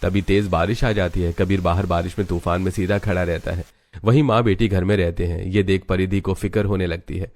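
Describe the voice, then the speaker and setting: A man giving scripted narration for an animated family story. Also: low voice, brisk.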